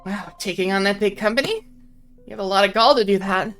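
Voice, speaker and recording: A woman's voice: mid-range voice, a little fast, over music, lightly acted. A woman voicing an earnest young woman character while reading a fan visual novel aloud on stream.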